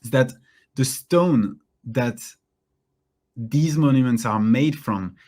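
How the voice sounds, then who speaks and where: A man hosting an online course, closing with a casual, halting talk full of fillers and restarts. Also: mid-range voice, darkish tone. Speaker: course host.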